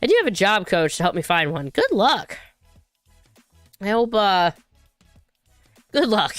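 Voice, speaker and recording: A woman's voice: medium pitch, sing-song, a little slow, fairly bright tone, dry recording, faint music behind. A woman hosting a casual livestream, rambling and chatty as she reads viewer comments, her voice still recovering from being sick. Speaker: livestream host.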